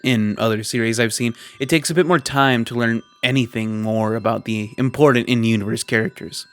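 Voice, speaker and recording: A man hosting a video essay, reading scripted commentary in a measured, wry way and stretching words for emphasis. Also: mid-range voice, fairly bright tone. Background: background music.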